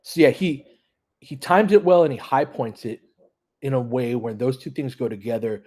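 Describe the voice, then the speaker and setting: A man's voice: mid-range voice, a little mellow. A man hosting a casual live basketball play-by-play, chatting informally with listeners and analysing play as it happens. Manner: conversational, analytical, informal with mild swearing. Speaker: host of a fan audio play-by-play stream.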